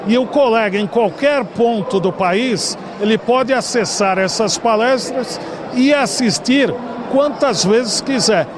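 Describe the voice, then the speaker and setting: A man in his forties with a São Paulo Brazilian accent, answering interview questions in a formal, measured way. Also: extremely high pitch, crowd noise. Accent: Brazilian Portuguese, São Paulo. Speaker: bar association culture director, guest. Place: large indoor ballroom party. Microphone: handheld mic held by interviewer. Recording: noisy ballroom.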